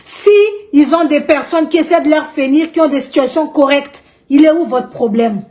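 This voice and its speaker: A woman's voice: sits high, moderate pace, darkish tone. An outspoken woman from Madagascar with a Malagasy accent, talking indignantly to camera in her vlog and repeating points for emphasis. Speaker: vlogger.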